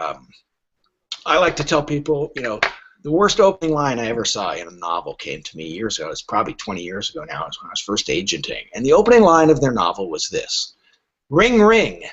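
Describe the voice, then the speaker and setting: Animated, slightly husky man on a lo-fi podcast. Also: lowish voice, brisk. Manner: wry, emphatic, acts out quoted remarks. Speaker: literary agent, podcast guest. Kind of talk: casual podcast conversation with anecdotes. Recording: dull, lo-fi podcast audio.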